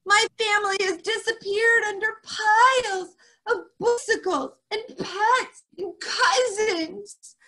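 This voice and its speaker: A woman puts on a frazzled young girl in a storybook, her voice pitched up high and pleading as she begs for help. She draws out every complaint slowly and dramatically, as if each word is a burden. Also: lively intonation, a bit bright, heavily acted, low-quality recording. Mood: distraught.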